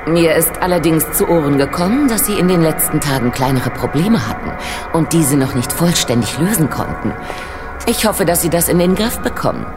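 A woman playing a cool, condescending crime broker in an audio drama, measured, patronising and quietly threatening. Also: low-pitched, very animated. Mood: veiled threat. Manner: measured, smooth-talking, patronising. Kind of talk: German audio drama acting. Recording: music playing behind the voice.

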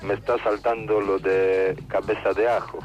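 An actor playing a shady, evasive political fixer with a Buenos Aires accent, chummy and rambling in a radio comedy sketch. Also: male voice, on the low side, level tone, moderate pace, natural voice. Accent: Argentine, Buenos Aires. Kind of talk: improvised radio sketch, mock interview. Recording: dull lo-fi phone line.

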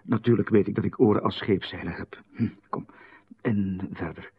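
A man's voice: on the low side, steady tone, normal speed, a little hiss or hum, close to an everyday voice. A man playing a nervous writer who is being questioned in a radio play, hesitant and bewildered. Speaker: writer Babbert, the visited host. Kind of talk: 1990s radio play acting. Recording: narrow phone-like radio band.

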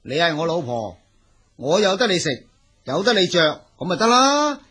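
Swooping, lazy, blustering farmer husband. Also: male voice, high-pitched, sing-song, moderate pace, sharp tone, slightly rough, exaggerated voice. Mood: bossy, irritated. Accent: Cantonese. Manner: whiny, bossy, dismissive. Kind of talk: Cantonese audio folk tale acting.

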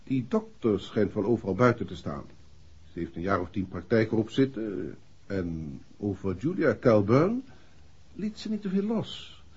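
An actor playing a matter-of-fact, precise police inspector in a 1960s Dutch radio play. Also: male voice, on the low side, sing-song, normal speed, darkish tone, husky, heavily acted. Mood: businesslike. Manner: businesslike, precise. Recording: dull, muffled vintage radio recording.

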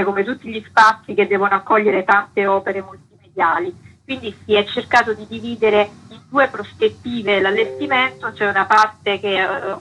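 Female curator as interview guest, forward bright tone with some huskiness, explanatory delivery. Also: mid-range voice, breathy edge, poor audio.

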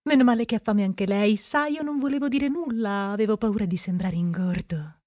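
A woman's voice: medium pitch, brisk, warm tone, no echo, studio quality, lightly acted. A woman acting as a timid, courteous castaway in a comic radio play, with a fussy theatrical delivery. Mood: courteous, relieved. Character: polite, timid, hungry middle-sized male castaway.

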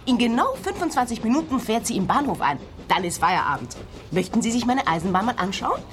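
An actress playing a quirky, eager young woman, an excitable hobbyist in a comic radio play. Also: fairly high pitch, a little fast. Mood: proud, eager. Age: young adult. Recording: faint background noise and ambience.

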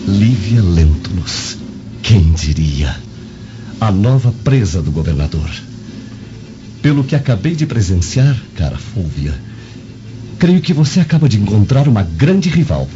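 Scheming Roman suitor, low and insinuating, for a radio play; lo-fi sound with music underneath. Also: male voice, sing-song, a little slow, long pauses, mellow. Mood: sly, mocking. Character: scheming lovestruck Roman man, smooth and insinuating. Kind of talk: dramatized audio novel acting. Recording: dull lo-fi radio drama recording.

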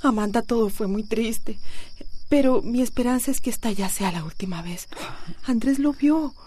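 A woman's voice: on the high side, normal speed, dark tone, lightly acted. An actress with a Costa Rican accent playing a worried, saddened mother confiding in a friend, sighing and emotional, in a radio soap. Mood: sad, weary. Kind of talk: radio soap opera acting.

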